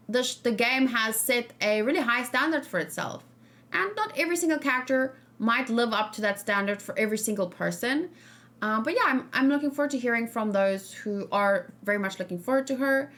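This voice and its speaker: Female livestream host, fairly high voice, casual and informal, musing through game discussion off the cuff. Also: fairly bright tone. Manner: informal, musing, thinking aloud. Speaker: streamer and reaction host.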